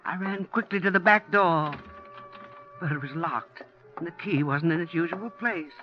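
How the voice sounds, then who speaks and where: A woman's voice: on the low side, moderate pace, faint music behind, natural voice. An actress playing a genteel, motherly middle-aged woman who narrates her own ordeal in a 1940s radio drama. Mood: hushed recollection. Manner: genteel, motherly, growing frantic. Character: kindly widowed woman, mother of two servicemen, terrified. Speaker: narrator and lead character. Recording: muffled 1940s radio broadcast.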